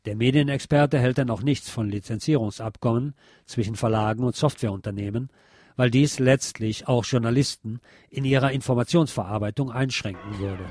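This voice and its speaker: A man's voice: mid-range voice, moderate pace, a little mellow, dry recording. Man reading a journalistic essay, dry studio sound. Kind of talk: scripted narration of a journalistic essay.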